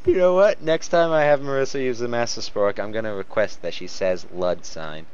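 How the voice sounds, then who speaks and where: A man's voice: mid-range voice, normal speed, a little mellow. A man reading fan fiction aloud for laughs, with casual banter and frequent giggly breaks. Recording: muffled, noisy home recording.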